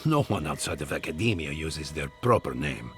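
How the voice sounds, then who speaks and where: Wry principled mage in a video game, really expressive voice, bit of music under it. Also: male voice, rumbling low, moderate pace. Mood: wry, fond. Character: principled, dryly affectionate male animancer scholar. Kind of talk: scripted video game dialogue.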